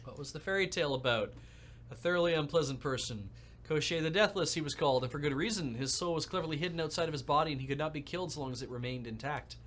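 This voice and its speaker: A man reading aloud the lines of a polite, wry Russian drone engineer, with a faint Russian accent and formal, measured speech. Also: on the high side, fairly bright tone. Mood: wry, courteous.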